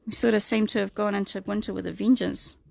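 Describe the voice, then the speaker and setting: A middle-aged woman with a New Zealand accent, croaky this morning, chatting through a livestream craft tutorial and greeting viewers by name. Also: mid-range voice, steady tone, quick pace, a little mellow. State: croaky, clearing her throat.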